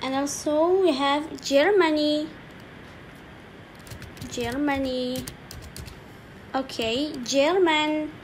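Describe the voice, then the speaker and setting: A woman teaching an English lesson slowly, repeating each word and saying "okay" often, with keyboard typing faintly behind her. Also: sits high, a little hiss or hum. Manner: slow, repetitive, saying each word twice with frequent "okay". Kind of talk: slow screen-recorded language lesson.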